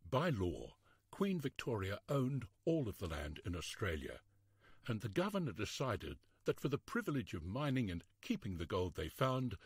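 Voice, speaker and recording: Expressive male storybook narrator, slightly husky. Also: mid-range voice, no echo, clean studio sound. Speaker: narrator reading a children's history book.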